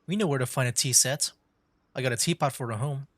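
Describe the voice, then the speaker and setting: A man's voice: medium pitch, quick pace, a bit bright. A man giving casual commentary as he streams a video game.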